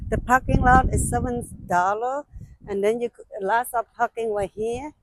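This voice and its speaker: A woman who is a non-native English speaker, chatting casually to viewers as an exclamatory travel vlogger in simple, halting phrases, outdoors on a handheld camera. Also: on the high side, no echo, rough recording. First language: not English. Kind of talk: casual sightseeing vlog, talking to viewers. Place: outdoors at a windy mountain lookout.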